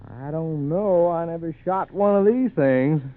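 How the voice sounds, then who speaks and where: An actor playing a determined young lawyer, polite but stubborn and crisply spoken, in 1940s radio drama style. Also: male voice, a little high, a little slow, dry recording, narrow, tinny audio, natural voice. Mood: earnest, resolute. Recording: thin, band-limited 1940s radio recording.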